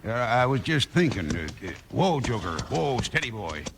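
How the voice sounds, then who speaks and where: A mean, sly cowboy outlaw with a folksy drawl. The voice is gravelly and forceful, with lively swings in pitch. It comes from an old radio western with light noise. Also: male voice, normal speed. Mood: sly, baiting. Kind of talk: 1950s radio western acting. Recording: noisy old 1950s radio transcription.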